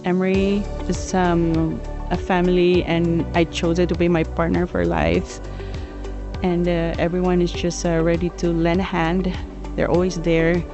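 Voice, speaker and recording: A woman's voice: lowish voice, little pitch movement, a little slow. A woman giving a testimonial as a nurse, hesitant and filled with ums and uhs. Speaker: nurse in promo video. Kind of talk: testimonial interview. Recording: lo-fi audio with music bed.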